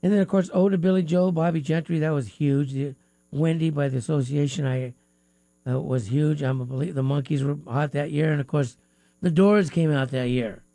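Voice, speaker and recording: A man's voice: a little high, moderate pace, gravelly, dark tone, no room sound. A man hosting a live radio music talk show from a studio, with a stuffy nose and a rambling, filler-heavy, chatty way of talking.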